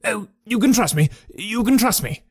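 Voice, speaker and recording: A bullying old horse trainer, put on by a man reading a storybook, barking in a voice lifted high above the narrator's. He blusters and snaps. The recording is dry and close. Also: animated, moderate pace, breathy edge, no room sound. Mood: cringing. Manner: blustering, shouting. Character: fierce bullying elderly male horse trainer.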